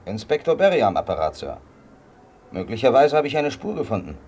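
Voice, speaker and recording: Insistent, clipped male police inspector played by an actor for radio crime drama, very varied pitch. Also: sing-song, brisk, darkish tone, slight background noise, natural voice. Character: probing, businesslike male British police inspector.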